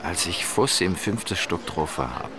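An unflappable private detective in a radio drama. His voice is very low, his delivery laconic and dryly defiant. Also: big pitch swings, normal speed, creaky, very noisy, heavily acted. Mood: matter-of-fact.

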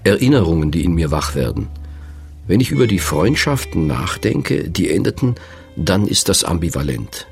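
A man in his fifties narrating a radio feature in a slow, musing, reflective way. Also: low voice, sing-song, gravelly, soft background music. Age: 50s.

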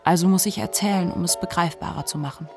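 A woman's voice: on the low side, quick pace, creaky, over music. A woman playing a reflective, articulate narrator of mental illness in a literary radio play. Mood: analytical.

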